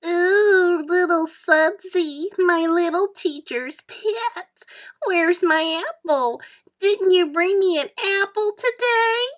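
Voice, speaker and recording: A woman voicing a mocking fourth-grade bully in a storybook, with sing-song, drawn-out taunts. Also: extremely high pitch, a bit bright, voice pushed up, full character voice.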